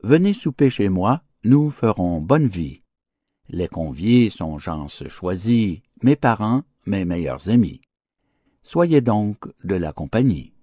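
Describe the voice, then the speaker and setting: A man's voice: fairly low pitch, sing-song, slow, dark tone, no room sound, studio quality, exaggerated voice. A man voicing a stingy athlete in a fable, grandly courteous and declamatory as he brushes someone off. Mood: genial invitation. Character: boastful stingy athlete, falsely genial, dismissing a poet. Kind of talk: theatrical fable reading.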